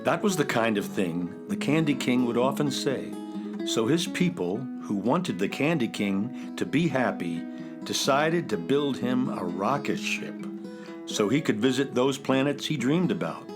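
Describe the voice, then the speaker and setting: A man playing a polite, earnest talking chocolate-covered rocket in a children's radio drama, speaking in a measured way. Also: medium pitch, very animated. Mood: storytelling. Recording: guitar music behind the voice.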